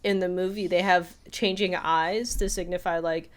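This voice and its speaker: A woman's voice: lowish voice, steady tone, normal speed. A woman co-hosting a podcast, chatting casually about film in a rambling way with lots of "like". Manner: rambling, peppers talk with "like". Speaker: podcast co-host. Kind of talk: casual film discussion chat.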